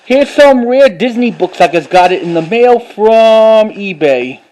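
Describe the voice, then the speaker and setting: A man giving a casual show-and-tell as a collector, talking informally in a halting, repetitive way. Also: high voice, animated, a little mellow, dull audio. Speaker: collector showing items to camera.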